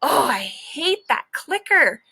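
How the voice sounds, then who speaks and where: A woman's voice: sits high, big pitch swings, slow, sharp tone, exaggerated voice. A woman voicing a cocky, stubborn stray dog who tells his story in sassy, colloquial children's storybook style. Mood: grumbling, wry. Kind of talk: children's book read-aloud.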